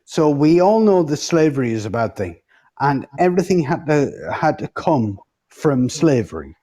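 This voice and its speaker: A man's voice: on the high side, sing-song, normal speed, mellow. A man in a heated casual debate, speaking insistently, cutting in and swearing freely.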